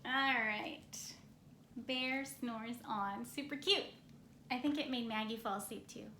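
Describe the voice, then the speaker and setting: A woman with a fairly high voice reads a picture book aloud at a very slow pace. Her storytelling is gentle and playful, with drawn-out phrases and casual asides, in an echoey room. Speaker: storybook reader. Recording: roomy echo, some background noise.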